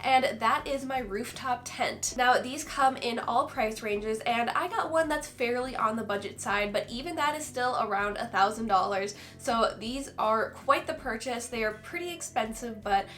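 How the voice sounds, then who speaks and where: A young woman in her twenties, from Minnesota, talks through a casual review vlog in a high voice. Her nose is stuffed up and her voice sounds nasal. She stays chatty and enthusiastic throughout. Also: normal speed, hardly any pauses, fairly bright tone, soft background music. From Minnesota, USA. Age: 20s. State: stuffed-up nasal voice after illness. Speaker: vlogger.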